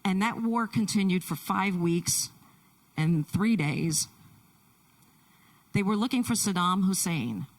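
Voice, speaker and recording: A woman pastor introducing and interviewing a guest at a church service, speaking in short, deliberate phrases. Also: mid-range voice, lively intonation, recorded from a distance, poor audio. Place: church sanctuary.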